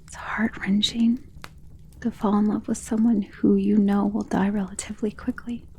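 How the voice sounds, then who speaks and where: A woman playing a centuries-old, tender and protective vampire in an intimate ASMR romance roleplay, speaking softly and earnestly, often in a whisper. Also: mid-range voice, little pitch movement, moderate pace. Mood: wistful, hesitant. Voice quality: soft, breathy, often dropping to a whisper.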